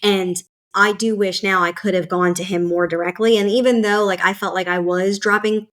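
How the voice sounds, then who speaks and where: A woman's voice: medium pitch, fairly even intonation, normal speed, a bit bright. A woman hosting a podcast, talking casually with lots of fillers and asides. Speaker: podcast host. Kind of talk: casual conversation.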